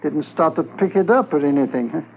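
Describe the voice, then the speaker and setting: Eager, muddled drugstore witness, higher-pitched, hesitant rambler, thin vintage radio sound. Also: male voice, lively intonation, moderate pace, vocal fry. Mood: rambling, eager. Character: chatty, vague, eager male drugstore keeper witness. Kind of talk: 1950s radio drama acting. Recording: tinny old radio with faint noise.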